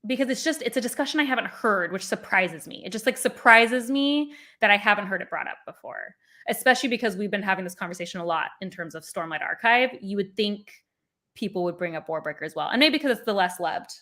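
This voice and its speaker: A woman's voice: mid-range voice, animated, quick pace, pushed and bright. A woman hosting a casual book discussion, talking in a rambling way with lots of fillers and restarts. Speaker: booktube host.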